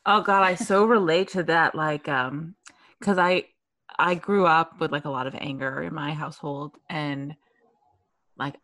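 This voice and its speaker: Low-voiced podcast host woman. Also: measured pace, no room sound. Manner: animated, lots of "like". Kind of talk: casual chat.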